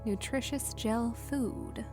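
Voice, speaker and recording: A woman's voice: fairly high pitch, slow. A woman playing a devout, motherly refugee, fearful and insistent, in an audio drama. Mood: gentle. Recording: loud music bed behind voice.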